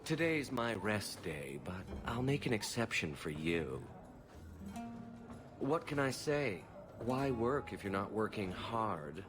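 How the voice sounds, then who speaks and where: Flamboyant courtesan, video game, swooping intonation, suave, teasing, worldly, music behind. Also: male voice, fairly low pitch, very animated, takes it slowly. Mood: flirtatious. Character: flamboyant, flirtatious male courtesan, cheeky and worldly.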